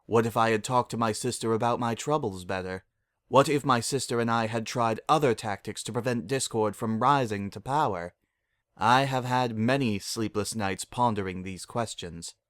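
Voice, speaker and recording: A man voicing a regal, gentle princess mare who speaks in a formal, measured and solemn way for a story reading. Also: mid-range voice, no room sound, clean studio sound, close to an everyday voice. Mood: firm, reflective. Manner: formal, measured, archaic courtesy.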